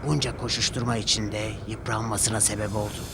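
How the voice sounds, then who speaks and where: A man voicing a worn-out, wistful old diesel engine with a quiet, subdued manner in a children's cartoon story. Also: fairly low pitch, little pitch movement, fairly quick, vocal fry, background noise. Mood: weary. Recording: loud music behind the voice.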